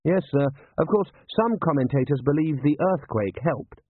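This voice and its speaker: A man's voice: a little high, lively intonation, moderate pace, breathy edge, dry recording, pitched above the performer's own voice. A man voicing a respectful but doubting junior lieutenant, glum and carefully contradicting, in an audiobook. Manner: careful, deferential, quietly contradicting. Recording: thin, band-limited, phone-like sound.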